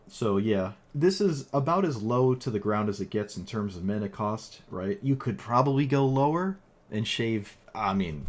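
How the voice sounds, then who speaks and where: A man's voice: lowish voice, darkish tone. A man who is losing his voice after a long stream, talking casually about a card game deck in a rambling way with lots of fillers and restarts. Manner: rambling, with frequent fillers and self-corrections. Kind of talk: casual gameplay commentary.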